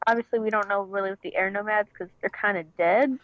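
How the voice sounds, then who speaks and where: A woman's voice: medium pitch, steady tone, fast, no echo. Woman on a dull, dry lo-fi mic. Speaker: panel guest. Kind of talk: casual group discussion.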